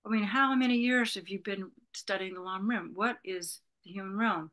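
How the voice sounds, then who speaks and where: A woman's voice: medium pitch, moderate pace, a bit bright. A woman in her sixties from California, a Buddhist retreat leader talking reflectively as an interview guest, rambling with many "you know"s. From Berkeley, California, USA. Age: 60s. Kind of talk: reflective casual conversation.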